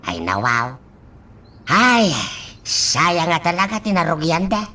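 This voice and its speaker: Radio drama: actress as kind aging dad, deep, sighing, comforting. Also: female voice, big pitch swings, slow pace, distant mic, heavily acted. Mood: wistful. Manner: sighing exclamations, soft reassurance. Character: gentle aging father, kind and devout.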